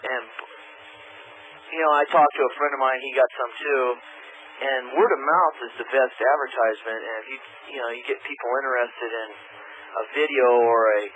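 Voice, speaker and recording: A man with an average-pitched voice calls in to a radio show. He talks casually and earnestly, rambling a little with hesitant starts, over a slightly noisy phone line. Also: steady tone, moderate pace, a bit bright.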